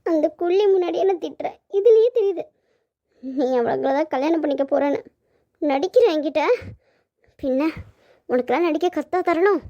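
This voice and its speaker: A woman's voice: high voice, moderate pace, pauses often, mellow. A woman playing a naive, lovelorn young daughter in a family melodrama, plaintive and girlish. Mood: puzzled, hurt. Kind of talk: melodramatic family audio drama acting. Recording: dull lo-fi audio.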